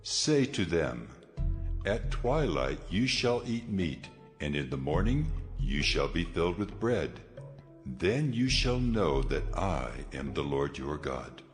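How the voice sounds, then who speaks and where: An actor playing God in a dramatized scripture recording, a solemn, commanding male deity who speaks with measured authority. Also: very deep voice, very animated, full character voice. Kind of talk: dramatized scripture audio acting. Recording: long cavernous reverb over music. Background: music.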